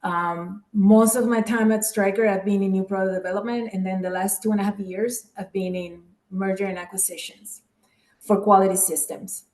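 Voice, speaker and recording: A woman from the Dominican Republic speaks at a mid pitch on a video call, telling about her work in a relaxed, loose way. Her voice rings in a roomy, echoing space, and she leans on fillers like "like" and "um". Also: moderate pace. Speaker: guest speaker, program manager. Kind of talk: casual webinar chat.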